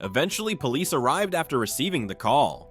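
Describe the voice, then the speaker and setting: A man reading scripted narration in an even, measured news style. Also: mid-range voice, very noisy. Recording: music bed underneath.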